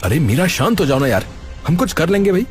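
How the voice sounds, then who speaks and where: An actor playing a boastful, easily exasperated young city husband in a cartoon, with animated, slangy casual talk. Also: male voice, on the high side, fairly quick, soft background music.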